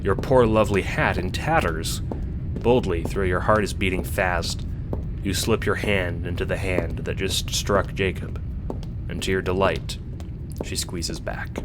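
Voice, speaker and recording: Low-voiced man narrating an audio drama. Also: unhurried. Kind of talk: scripted second-person audiobook narration. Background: music.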